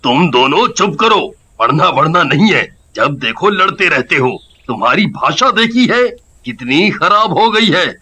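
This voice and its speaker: A man playing a blustering, flattering village husband and father in an animated story, theatrical and exaggerated. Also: a little high, measured pace, a little hiss or hum, full character voice. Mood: scolding.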